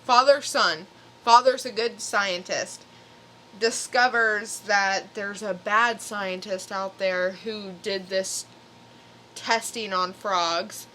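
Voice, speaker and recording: Slow-talking woman, bright voice, casual podcast chat. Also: mid-range voice, fairly even intonation, a little hiss or hum, rough recording. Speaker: podcast co-host. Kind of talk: casual comic book chat.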